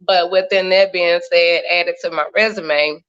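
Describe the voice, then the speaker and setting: A woman in her thirties with a bright, forceful voice tells her story on a video call. She talks in an animated way, laughs often and drops churchy phrases. The stream sound is dull and lo-fi. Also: fairly low pitch, unhurried, clear, resonant tone. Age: 30s. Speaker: guest. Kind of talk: casual chat.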